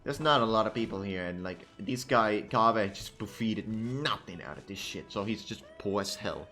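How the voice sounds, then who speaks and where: A man's voice: on the low side, expressive, moderate pace, sharp tone. A man giving casual, irreverent, sweary reaction commentary as he streams a game. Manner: irreverent, profane, interjecting. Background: game music.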